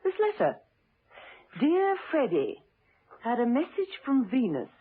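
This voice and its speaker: A woman's voice: high voice, wildly swooping pitch, a little slow, slightly rough, heavily acted. An actress playing a poised, dryly witty British lady investigator in a radio drama, on a muffled old recording. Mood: cool, curious.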